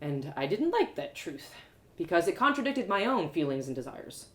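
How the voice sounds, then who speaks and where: A woman's voice: on the low side, very animated, normal speed, reverberant. A woman storyteller reading first-person fiction aloud as a dramatic live narration. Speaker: author and storyteller.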